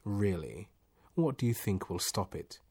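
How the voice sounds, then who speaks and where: A man voicing a calm, wry, teasing disembodied voice in a storybook, measured and mock-polite. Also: fairly low pitch, slightly rough, heavily acted. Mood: dry amusement. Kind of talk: storybook character reading.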